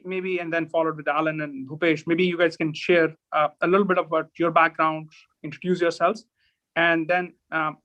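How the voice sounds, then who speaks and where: Male moderator, polite, passes the floor, bright and slightly high voice. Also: poor audio. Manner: polite, directing turns. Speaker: webinar moderator. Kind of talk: moderating a webinar panel. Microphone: video call.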